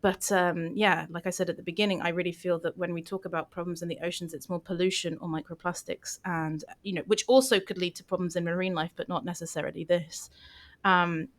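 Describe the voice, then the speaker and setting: A woman hosting a podcast interview, speaking in a thoughtful, reflective way with hedging pauses. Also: lowish voice, fairly even intonation. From Portugal. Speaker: podcast host. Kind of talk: interview conversation.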